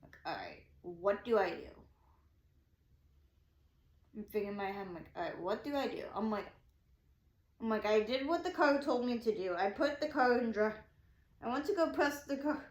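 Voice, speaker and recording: A woman's voice: on the high side, lively intonation, moderate pace, pauses often. A woman in her twenties telling a story casually, rambling and repeating herself as she quotes her own thoughts. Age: 20s. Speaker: vlogger. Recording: echoey room.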